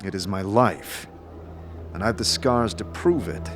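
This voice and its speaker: A man playing a rough, well-spoken wanderer with a polite, wry manner in a fantasy audio drama. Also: on the low side, unhurried. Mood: earnest. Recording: music under the voice.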